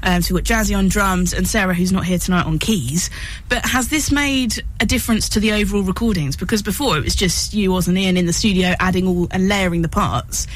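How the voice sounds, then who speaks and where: A woman's voice: mid-range voice, fairly quick, a little hiss or hum, rough recording. Woman radio host interviewing guests. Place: radio studio. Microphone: studio microphone.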